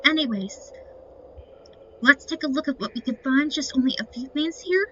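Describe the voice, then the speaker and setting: A woman's voice: sits high, normal speed, a bit bright. A woman giving casual commentary on a game playthrough, explaining things to viewers as she goes. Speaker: gamer narrating a playthrough. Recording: muffled lo-fi mic with clear noise.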